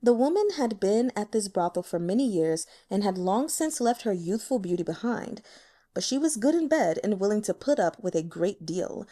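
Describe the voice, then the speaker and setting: A woman's voice: mid-range voice, lively intonation, moderate pace, dark tone. A woman reading fiction aloud as an audiobook narrator, in a dramatic storytelling style.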